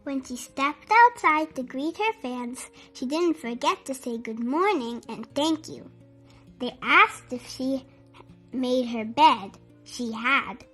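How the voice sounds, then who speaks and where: A woman reading a children's book aloud in an animated, deliberate, clearly enunciated storytelling style for young listeners. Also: high voice. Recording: music behind the voice. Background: music.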